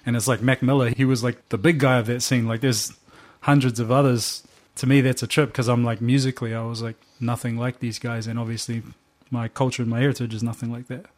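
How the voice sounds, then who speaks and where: A man's voice: mid-range voice, fast, hardly any pauses. A young man with a New Zealand accent, a musician guest chatting casually and laughing often as he tells stories. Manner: relaxed, laughs often, slangy storytelling. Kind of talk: casual interview chat.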